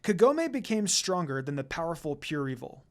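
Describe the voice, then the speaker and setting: Higher-pitched man narrating fan fiction. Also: big pitch swings, normal speed. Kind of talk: dramatic fan fiction story reading.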